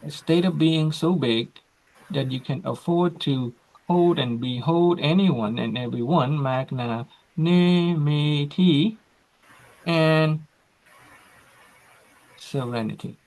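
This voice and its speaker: A man hosting an online class on a video call, slow and reflective, with frequent fillers. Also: fairly high pitch, darkish tone, low-quality recording.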